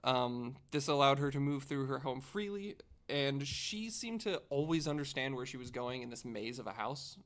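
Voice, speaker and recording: A man's voice: mid-range voice, expressive, moderate pace, hardly any pauses, fairly bright tone. A man on a casual podcast panel, presenting researched facts in a conversational way and partly reading from notes.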